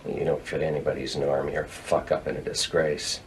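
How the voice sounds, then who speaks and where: A man's voice: rumbling low, little pitch movement, brisk, a little hiss or hum. An actor playing an angry, foul-mouthed, defensive man who argues in exasperation, in a naturalistic drama. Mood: contemptuous, irritated. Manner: swearing, combative, exasperated. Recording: distant microphone.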